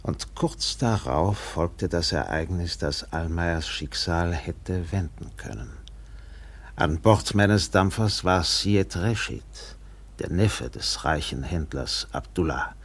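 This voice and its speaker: An actor playing a steamship captain who tells a colonial tale expressively in a German radio play, his voice husky and gravelly. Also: low voice, exaggerated voice. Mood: measured, reflective. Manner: expressive, measured literary storytelling with acted dialogue.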